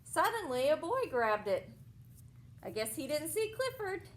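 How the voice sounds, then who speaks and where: Animated, higher-pitched woman reading to children. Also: unhurried. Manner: simple, explanatory phrasing for small children. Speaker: teacher reading aloud to young children. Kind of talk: animated picture-book read-aloud with asides and questions to children.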